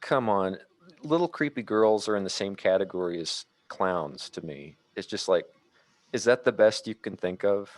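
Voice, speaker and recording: Lowish guy on a podcast, dry sound, casual chat, kinda halting as he argues his point. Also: animated, no room sound. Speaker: podcast co-host. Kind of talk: casual film discussion.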